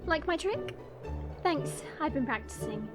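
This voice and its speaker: A woman's voice: sits high, expressive, unhurried, over music. A woman playing a haughty, short-tempered dragon princess in an audio drama, imperious and mocking. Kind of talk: audio roleplay drama acting.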